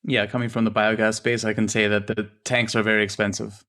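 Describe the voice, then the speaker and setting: A man's voice: lowish voice, level tone, fairly quick, bright, forceful tone. A man hosting a casual interview podcast, playful and affirming as he puts questions to his guest. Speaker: podcast host.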